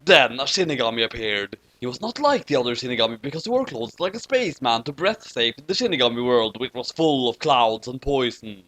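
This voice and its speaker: A man's voice: medium pitch, big pitch swings, brisk, few pauses, a bit bright, no room sound. A man narrating a parody story as a comic dramatic reading, mock-serious, with casual asides.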